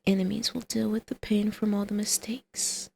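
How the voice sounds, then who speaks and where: Woman playing a creaky-voiced, teasing, flirty villainess. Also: medium pitch, flat delivery, moderate pace, a little mellow, natural voice. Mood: seductive persuasion. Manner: intimate, teasing, often dropping to a breathy whisper. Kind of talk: ASMR roleplay.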